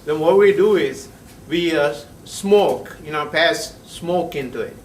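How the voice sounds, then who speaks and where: A male chemistry professor, lecturing a class in a halting way, with many pauses and frequent checks of "right?". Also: a little high, distant mic, a little hiss or hum. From Sri Lanka. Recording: echoey classroom heard from afar.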